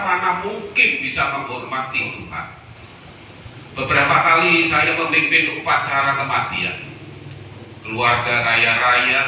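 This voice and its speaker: Guy preaching, slow on the key words, super bright tone, big echoey temple hall. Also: mid-range voice, recorded from a distance, slight background noise. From Solo, Central Java, Indonesia. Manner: storytelling sermon, slow stressed key words, mixes in local phrases. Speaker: Confucian preacher. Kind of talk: sermon.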